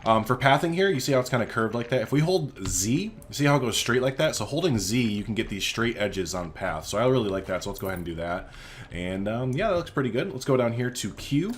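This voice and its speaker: A man's voice: mid-range voice, expressive, fast, a little hiss or hum. A man hosting a gaming video, rambling casually and thinking aloud as he plays. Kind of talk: casual game commentary.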